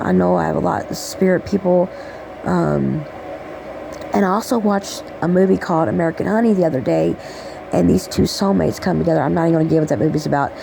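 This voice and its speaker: Gravelly raspy woman channeling spirit messages. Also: fairly low pitch, a little fast, noisy background. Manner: rambling, affectionate, repeats "okay?" and "um". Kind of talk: casual spiritual message monologue.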